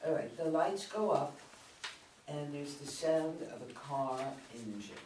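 A man's voice: a little high, expressive, unhurried, darkish tone. An older man reading stage directions as scripted narration at a play reading. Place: meeting room, around a table. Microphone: far camera. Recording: distant mic, echoey room, faint noise.

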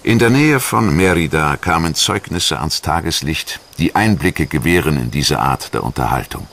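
A man reading scripted documentary narration in German in a measured, factual manner. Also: rumbling low, lively intonation, creaky, fairly bright tone, a little hiss or hum. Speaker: documentary narrator and voice-over translator.

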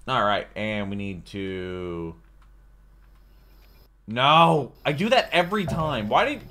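A man's voice: medium pitch, expressive, slow pace, bright, forceful tone, a little hiss or hum. A young man with an American accent giving chatty, rambling reaction commentary as a video host. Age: young adult. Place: small room at home, indoors.

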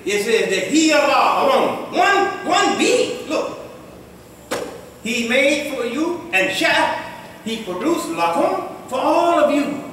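A middle-aged man, an imam, giving a Friday sermon at a mosque in a conversational, joking way that builds to emphatic shouts. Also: extremely high pitch, big pitch swings, slow, slight background noise. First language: English. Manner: conversational preaching, jokes and laughs, rises to emphatic shouts. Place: mosque room with tiled walls. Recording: very echoey hall, taken from a distance.

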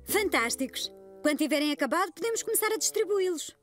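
An actress playing an encouraging preschool teacher in a cartoon dub, with a European Portuguese accent. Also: female voice, extremely high pitch, expressive, fast talker, faint music behind. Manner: clear, encouraging classroom tone. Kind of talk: cartoon dubbing.

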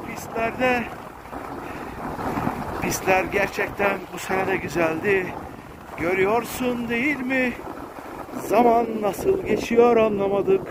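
Man mid-run on a ski slope, excited play-by-play, very high voice, loud wind noise over the mic. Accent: Turkish. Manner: excited, sportscaster-like running commentary with repeated catchphrases. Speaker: ski vlogger. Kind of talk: live commentary while skiing. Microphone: action camera mic in the wind.

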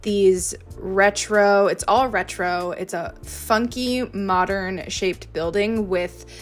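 Woman, mid-pitched voice-over, calm and careful, picking words as she describes a painting. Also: slow pace, faint music behind. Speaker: friend describing visuals.

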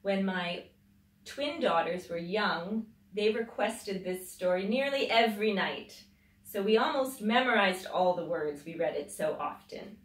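Animated woman reading a children's storybook. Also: medium pitch. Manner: dramatic, emphatic storytelling with drawn-out words. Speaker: children's storytime host, teacher. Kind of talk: expressive children's picture book read-aloud. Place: small indoor library room with tiled floor. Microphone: camera a few metres away. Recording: roomy echo, distant microphone.

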